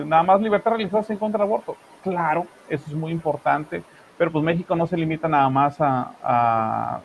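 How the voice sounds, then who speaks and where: Regiomontano male co-host, emphatic political commentary, slightly high-pitched, faint noise. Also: lo-fi sound. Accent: Monterrey Mexican Spanish. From northern Mexico, Monterrey. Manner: animated, emphatic, talks in long run-on asides.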